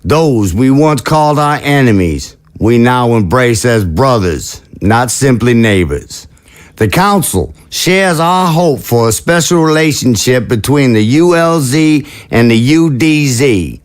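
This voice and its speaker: A man playing a stately veteran president giving a deliberate, oratorical political speech in an audio drama. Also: medium pitch, animated, lightly acted. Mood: proud, ceremonial.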